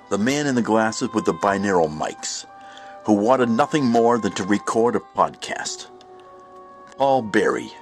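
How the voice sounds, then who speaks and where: A man playing a solemn, ominous narrator of an eerie anthology show, with measured, portentous delivery. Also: lowish voice. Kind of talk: scripted dramatic narration.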